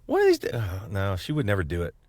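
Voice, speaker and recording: A man's voice: fairly low pitch, huge pitch swings, quick pace, darkish tone, no echo. A man co-hosting a podcast, interviewing casually in a rambling, jokey way with hesitant phrasing. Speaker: podcast co-host.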